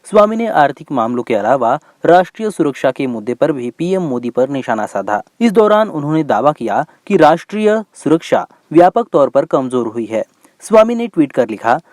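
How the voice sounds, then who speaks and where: A man's voice: on the high side, darkish tone, no echo. A man reading a scripted news bulletin as its presenter.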